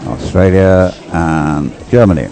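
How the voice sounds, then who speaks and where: A man's voice: deep voice, a little slow, mellow. An older man giving conversational live race commentary, drifting into rambling explanatory asides. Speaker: sports commentator and race umpire.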